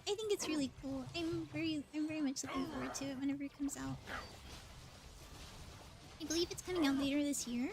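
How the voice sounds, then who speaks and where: A woman livestreamer giving casual gameplay commentary, thinking aloud in short bursts as she plays. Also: high-pitched. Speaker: livestreamer playing a video game. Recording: music behind the voice. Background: music.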